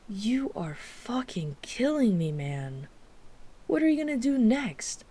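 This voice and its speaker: A woman's voice: mid-range voice, warm tone. A woman playing a wry, chatty, flirtatious mafia boss with an apologetic streak in an ASMR roleplay. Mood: exasperated. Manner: wry, exasperated, chatty, teasing. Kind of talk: ASMR roleplay audio drama.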